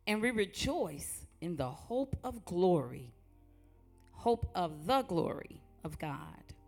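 A woman with a strong, loud voice, preaching and praying in a church sanctuary with a fervent, rhythmic cadence. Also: on the low side. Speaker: guest preacher. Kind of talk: church sermon and prayer. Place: church sanctuary before a congregation.

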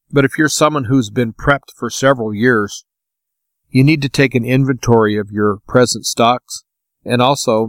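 A man hosting a talk podcast solo, giving practical, plainspoken advice in informal, deliberate phrases with short pauses. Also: mid-range voice, no room sound. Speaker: podcast host.

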